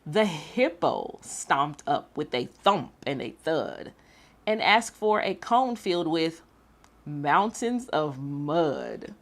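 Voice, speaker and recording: Woman, children's storyteller and host, slightly low pitch, very lively intonation, expressive. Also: slow. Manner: animated, addressing young listeners. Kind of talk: expressive children's read-aloud.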